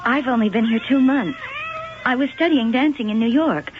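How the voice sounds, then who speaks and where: An actress playing an anxious young chorus-line dancer with an agitated, pleading manner in a 1980 radio drama. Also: female voice, a little high, normal speed, lightly acted. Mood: uneasy, polite. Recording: dull noisy old radio broadcast.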